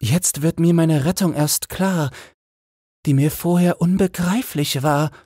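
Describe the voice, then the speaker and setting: A man's voice: fairly high pitch, a little slow, a little mellow, no room sound, clean studio sound, pitched above the performer's own voice. A man voicing a grateful, earnest young slave woman in a fairy tale. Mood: amazed.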